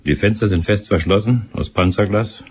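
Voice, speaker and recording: Police sergeant, radio drama: low, rough-edged voice, gruff, blunt, matter-of-fact. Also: male voice, moderate pace, a little mellow. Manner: blunt, dry reporting. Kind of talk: German radio drama acting.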